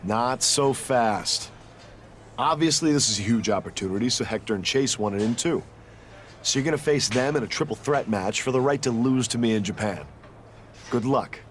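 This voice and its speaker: An actor playing a smug, condescending young pro wrestler in a video game cutscene. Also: male voice, mid-range voice, moderate pace, slight background noise, lightly acted. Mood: taunting, dismissive. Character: cocky young male pro wrestler taunting rivals.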